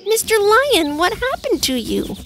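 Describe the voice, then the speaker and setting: A woman voicing a small, timid but brave male mouse in a cartoon, with a squeaky high voice. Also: wildly swooping pitch, unhurried, soft background music, full character voice. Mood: concerned. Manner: timid, pleading. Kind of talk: cartoon voice acting.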